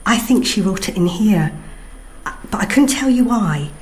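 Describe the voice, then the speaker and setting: An actress playing an intense, probing psychic medium in a radio drama, with a halting, portentous manner. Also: female voice, mid-range voice, animated, a little mellow, a little hiss or hum, natural voice, rough recording.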